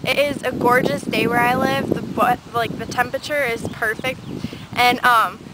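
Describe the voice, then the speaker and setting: A nineteen-year-old woman talks to her camera outdoors in a casual, rambling way, full of fillers and asides. Her voice sits fairly high. Loud wind noise and passing traffic run under the whole recording. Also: steady tone, moderate pace. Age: late teens. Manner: chatty, rambling with many fillers. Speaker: beauty vlogger. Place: outdoors on a windy day. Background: wind noise and passing vehicles.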